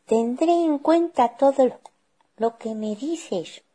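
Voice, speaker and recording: Casting: sweet trusting small girl for a fairy tale, raised pitch, sing-song. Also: takes it slowly, mellow, husky, heavily acted. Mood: cheerful, obedient. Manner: childlike, sing-song, naive.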